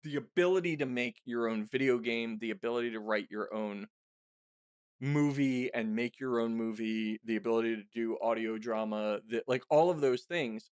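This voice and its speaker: Male interviewer, mid-pitched, conversational, animated, opinionated, dry recording. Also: moderate pace, clean tone, no echo. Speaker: podcast host interviewer.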